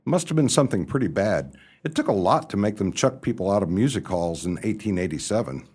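A man playing a genial, dithering young upper-class gentleman in a radio play, who narrates and stammers when pressed. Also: on the low side, lively intonation, brisk, breathy edge. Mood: mildly puzzled. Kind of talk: radio play acting with first-person narration.

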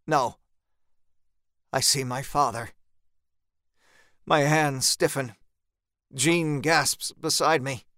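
A man narrating a first-person horror story in a tense, deliberate, dramatic manner. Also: mid-range voice, bright, forceful tone, dry recording, clean studio sound.

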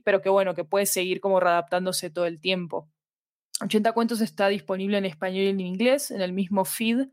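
A woman's voice: mid-range voice, dry recording, studio quality. A woman explains her work conversationally in an interview, reflectively searching for precise words. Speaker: podcast fiction producer, interview guest.

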